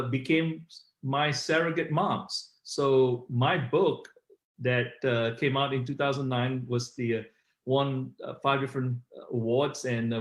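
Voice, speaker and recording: Middle-aged man from Malaysia, guest author, talks in loose halting stretches, roomy echo. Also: mid-range voice. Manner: rambling with many uh fillers. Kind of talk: conversational interview.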